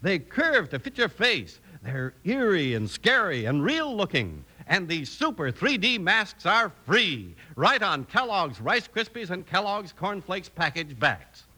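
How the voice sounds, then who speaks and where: Punchy, forceful male radio announcer. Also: high voice, very animated, a little slow, few pauses. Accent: American. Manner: emphatic, punchy sales delivery. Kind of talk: 1950s radio announcing and cereal advertising. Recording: old radio broadcast recording.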